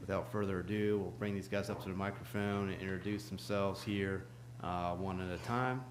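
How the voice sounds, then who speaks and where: A lifeguard supervisor addressing a town board in a friendly way, with frequent pauses and fillers. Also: male voice, sits low, steady tone, roomy sound, background noise. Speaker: ocean rescue supervisor. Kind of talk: short public address at a meeting.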